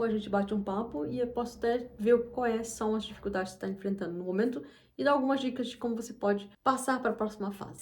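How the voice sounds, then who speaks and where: Mellow-voiced woman vlogging. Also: medium pitch. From Brazil. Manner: rambling, many restarts and asides. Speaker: English teacher and channel host. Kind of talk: casual talk to camera.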